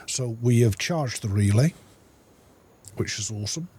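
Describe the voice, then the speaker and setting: A man's voice: medium pitch, lively intonation, a little slow, warm tone, breathy edge. A man giving casual let's-play commentary, talking viewers through each step in an informal, instructional way. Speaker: game streamer and playthrough host.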